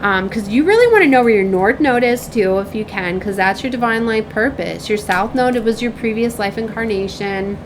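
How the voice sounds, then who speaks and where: A woman's voice: medium pitch, moderate pace, hardly any pauses, noisy background. A woman giving a casual, effusive spiritual talk to viewers as a reader, rambling and often checking for agreement. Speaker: spiritual reader and self-described shaman.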